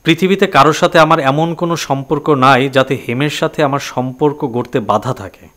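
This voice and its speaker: A man's voice: a little high, fast talker, a bit bright, lightly acted. A man playing an accused young man in a Bengali audio drama, answering in a terse, firm, defensive way. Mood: guarded, defiant. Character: guarded, defiant young man under accusation.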